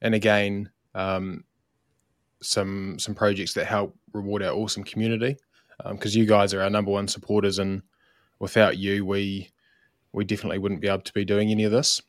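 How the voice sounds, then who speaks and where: A man with a New Zealand accent, relaxed and unhurried, introducing himself as marketing lead with lots of ums. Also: lowish voice, no echo, studio quality. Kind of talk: casual self-introduction on a livestream.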